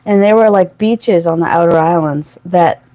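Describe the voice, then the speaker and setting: A woman's voice: lowish voice, normal speed, highs cut off. A woman co-hosts a casual morning chat in an easygoing way. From Maine, United States.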